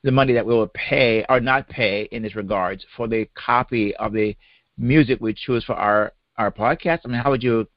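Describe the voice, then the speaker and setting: A man's voice: mid-range voice, moderate pace, no room sound. Jamaican man co-hosting a podcast. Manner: conversational, repeats words as he starts phrases. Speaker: podcast co-host. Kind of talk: casual chat. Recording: dry, slightly dull podcast audio.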